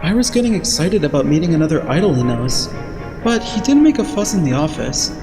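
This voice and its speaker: A man's voice: a little high, very animated, brisk, over music, poor audio. A man playing an earnest, naive young male idol in an anime-style fan dub, serious, literal-minded and pondering. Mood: puzzled, analytical.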